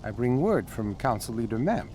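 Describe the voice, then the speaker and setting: An actor playing a wily, sardonic little gnome-like creature in a fantasy video game, dry and mocking. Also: male voice, mid-range voice, very animated, moderate pace, darkish tone, slight background noise, close to an everyday voice. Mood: flattering, urgent. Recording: faint music underneath.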